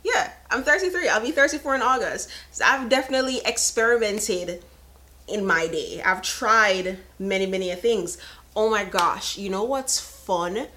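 A woman's voice: mid-range voice, animated, moderate pace, bright, forceful tone, a little hiss or hum. A woman in her thirties hosting a casual live podcast, chatting frankly and laughing often. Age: 30s. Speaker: podcast host. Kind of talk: casual live-stream chat.

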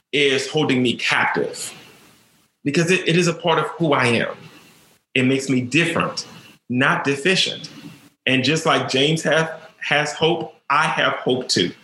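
A man's voice: on the high side, sharp tone, reverberant, a little hiss or hum, rough recording. A man who is a teacher, telling his own story in an interview, earnest and stuttering, repeating words as he goes.

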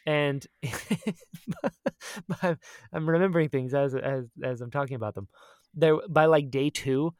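A woman's voice: low voice, takes it slowly, no echo, clean studio sound. A woman co-hosting a podcast, telling a story casually and expressively, often acting out her own remarks. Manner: animated, expressive, quotes herself dramatically. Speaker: podcast co-host.

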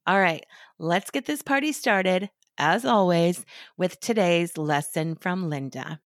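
A woman's voice: lowish voice, slow. A middle-aged woman hosting a style podcast in a friendly, chatty way, her voice a little scratchy from a recent cold. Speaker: podcast host, stylist. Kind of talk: scripted podcast talk.

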